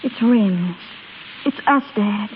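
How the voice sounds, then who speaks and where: An actress playing an anxious, earnest young wife in vintage radio drama, plaintive and hesitant. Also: female voice, mid-range voice, takes it slowly, natural voice. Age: young adult. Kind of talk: 1930s-40s radio drama acting. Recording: tinny, hissy old radio recording.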